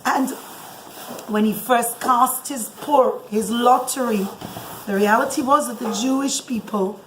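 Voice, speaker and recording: A woman's voice: a little high, a little slow. A woman telling an informal religious story to children as a mother would, explaining with repeated words for emphasis. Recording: echoey room with clear background noise.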